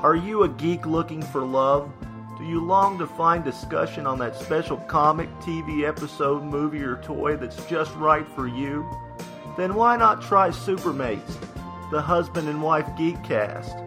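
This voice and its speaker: A man's voice: fairly high pitch, measured pace. A man reading a scripted podcast advertisement as its announcer. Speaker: promo announcer. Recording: music under the voice. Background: music.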